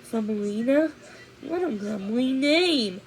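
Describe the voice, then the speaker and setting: Lady doing a gallant young storybook prince, earnest n courtly, slow, bit of noise. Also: a little high, expressive. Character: gallant, playful, proud young fairy prince. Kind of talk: storybook character voice.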